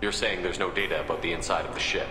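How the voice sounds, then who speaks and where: Guy doing a direct military captain for a game, talks quick, echoey, music behind. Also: low voice, steady tone, close to an everyday voice. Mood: probing, steady. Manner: direct, businesslike. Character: steady, businesslike male military captain, probing. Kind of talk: video game dialogue acting.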